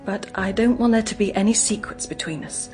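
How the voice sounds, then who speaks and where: An actress playing a gentle, guarded young English woman in a radio drama, speaking in an earnest, hesitant, confiding way. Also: medium pitch, brisk, soft background music, natural voice. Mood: earnest, anxious.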